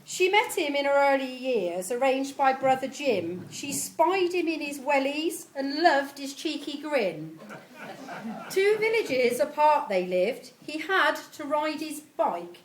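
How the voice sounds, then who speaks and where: A woman's voice: sits high, big pitch swings, slow. A middle-aged woman reads a homemade rhyming poem aloud to gathered guests. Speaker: family member reading a tribute poem at a party. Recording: live event recording with clear background noise.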